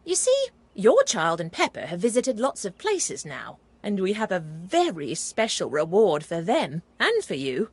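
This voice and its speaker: A woman's voice: medium pitch, huge pitch swings, a little slow, few pauses, darkish tone, slightly rough, no echo, heavily acted. A woman playing a hearty, friendly female otter ship captain in a children's cartoon game.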